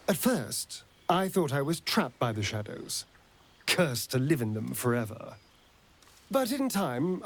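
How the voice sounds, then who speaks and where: A man's voice: fairly high pitch, heavily acted. A man playing a flamboyant, sardonic vampire rogue in a video game, with an arch, drawling delivery and a tender streak. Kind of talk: theatrical video game character acting.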